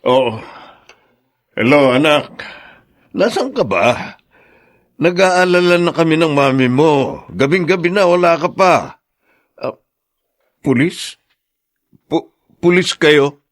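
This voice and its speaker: A worried middle-aged father in a radio drama. He speaks very slowly in a slightly high voice, halting and grave. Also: animated. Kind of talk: Filipino radio drama acting.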